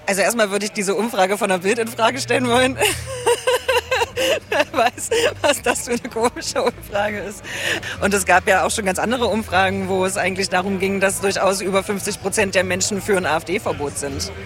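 A man's voice: high voice, normal speed, a bit bright. A man speaking for a campaign in a street interview at a demonstration, talking casually and hedging. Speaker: campaign spokesperson. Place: outdoors at a demonstration. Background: crowd.

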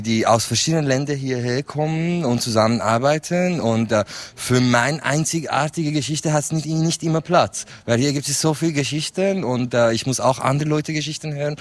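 A man's voice: mid-range voice, no room sound. A man from the Kurdish region of Iran, a Kurdish native speaker, answering interview questions in a hesitant way, with many fillers and restarts and frequent laughter. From Rojhelat, Kurdish region of Iran. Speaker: artist guest.

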